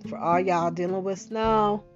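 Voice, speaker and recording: Woman, podcast host, slow easy delivery, slangy chat, dull lo-fi sound, guitar music behind. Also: medium pitch, fairly even intonation. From Bahamas-born, raised in Philadelphia. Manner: chatty, slangy, lots of fillers and asides. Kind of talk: casual monologue.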